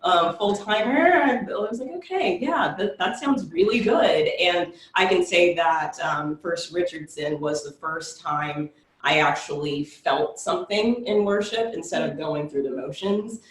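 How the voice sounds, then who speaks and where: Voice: medium pitch, unhurried, fairly bright tone. A young adult from South Carolina introducing themselves on a church panel, reflective and full of ums. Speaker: church welcoming director. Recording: echoey room, distant mic.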